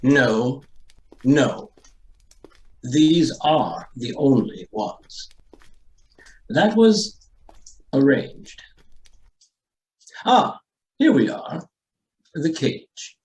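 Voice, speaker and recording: An actor playing an aloof, genteel and coolly ruthless estate owner in a radio drama, with formal, hesitant delivery. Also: male voice, mid-range voice, expressive, a little mellow, rough recording. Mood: coolly genial. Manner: pompous, formal, hesitant pauses. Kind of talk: old-time radio drama acting.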